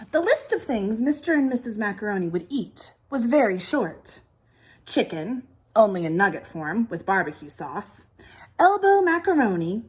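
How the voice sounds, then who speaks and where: A woman teacher reading a children's storybook aloud in an expressive, emphatic way. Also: mid-range voice, slightly rough. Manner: animated, emphatic delivery.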